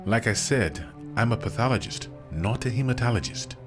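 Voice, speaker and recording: An actor playing a sober pathologist in a video game cutscene, dry, matter-of-fact and understated. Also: male voice, fairly low pitch, lively intonation, normal speed, natural voice. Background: loud game soundtrack music.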